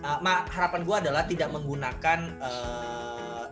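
Young co-host, slangy and animated, clear music bed behind. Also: medium pitch. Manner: slangy, animated, quick to joke. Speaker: podcast co-host. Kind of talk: casual chat.

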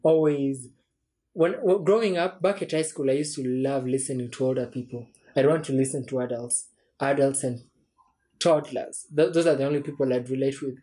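A young man in his twenties, a podcast guest talking through his thoughts in a relaxed, reflective way with frequent restarts. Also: mid-range voice, normal speed. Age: 20s. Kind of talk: casual chat.

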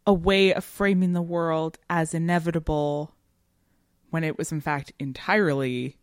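A woman with a notably low voice co-hosts a discussion podcast in a very dry recording. She speaks conversationally but analytically, leaning on key words and reflecting as she goes in academic turns of phrase. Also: steady tone, a little slow, no echo. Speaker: podcast co-host.

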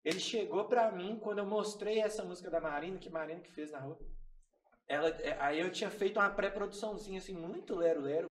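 A man's voice: high-pitched, fast talker, recorded from a distance. A man from Brazil, a band member, telling stories in a laid-back, slangy way in a casual podcast interview. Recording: echoey room, off-mic.

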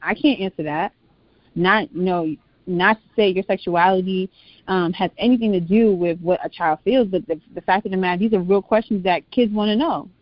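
A woman's voice: medium pitch, normal speed, highs cut off. A woman speaking as an interview guest and teacher, casual but earnest, stressing and repeating her points.

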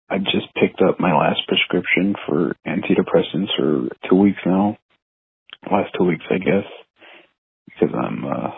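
A man's voice: low-pitched, vocal fry, slightly rough, no room sound. A man leaves a hesitant, subdued personal voicemail. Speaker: caller leaving a voicemail. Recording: tinny phone line.

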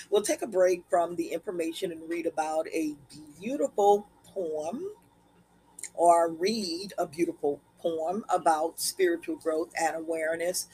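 A woman hosting a podcast in a slow, deliberate manner, her voice scratchy today. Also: lowish voice, darkish tone, slightly rough. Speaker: podcast host. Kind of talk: podcast monologue.

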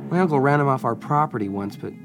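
A man's voice: medium pitch, animated, fast, music in the background, natural voice, low-quality recording. An actor playing a curious, dreamy young farm boy in a radio drama, with an earnest, wondering manner. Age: young adult.

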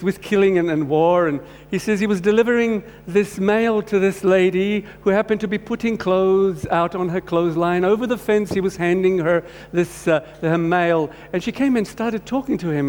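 A man from Croatia giving a personal testimony sermon as a guest speaker, talking haltingly with many restarts. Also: sits high, darkish tone. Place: church hall. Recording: long hall reverb, faint hum.

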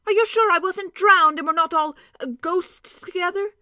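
A woman voicing a cheerful, stocky male dwarf with a hearty, emphatic, drawn-out way of talking. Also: very high-pitched, bright, forceful tone, no echo, clean studio sound, voice pushed up. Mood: wondering.

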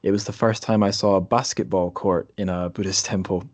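A man's voice: sits low, steady tone, normal speed, no echo. A man hosting a podcast, chatting casually with a guest in an interview. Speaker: podcast host.

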